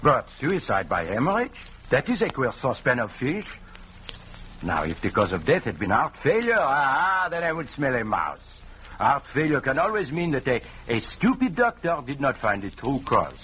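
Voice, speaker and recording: Pompous little french-accented detective, theatrical, voice jumps around, dull old radio sound. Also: male voice, medium pitch, very animated, normal speed, bright, forceful tone. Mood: playful, musing. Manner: theatrical, pompous, mangles English idioms. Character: vain, genial Belgian male detective with grand airs. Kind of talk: 1940s radio acting. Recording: dull, noisy 1940s radio recording.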